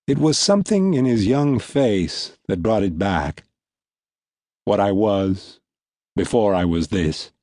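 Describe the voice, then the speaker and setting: A man reading literary fiction as an audiobook narrator, in measured, deliberate phrasing. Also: medium pitch, darkish tone, dry recording, clean studio sound. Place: recording studio.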